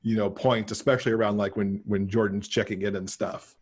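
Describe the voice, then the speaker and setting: A middle-aged man speaks in a fairly low register with a rough, husky edge. He talks casually and conversationally, as an author being interviewed over a video call. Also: level tone, fairly quick, a little mellow. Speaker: guest author. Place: indoor room, on a video call.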